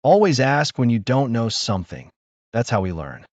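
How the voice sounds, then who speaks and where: A man's voice: medium pitch, big pitch swings, moderate pace, a little mellow, no echo, highs cut off, close to an everyday voice. A man voicing a cheerful, enthusiastic woodpecker who speaks encouragingly in a children's story.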